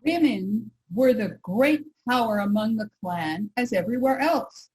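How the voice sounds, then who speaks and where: A woman's voice: a little high, animated, a little slow, a little mellow, poor audio. A woman performing an assured nineteenth-century suffragist addressing an audience in a staged reading. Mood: assured, declarative. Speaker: reader's theater performer.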